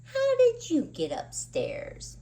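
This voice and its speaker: Night watchman for a picture book, read by a woman. Puzzled, kindly, exclamatory, animated delivery. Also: very high voice, slow, pitched above the performer's own voice, heavily acted. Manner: exclaiming, questioning. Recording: distant microphone with faint background noise.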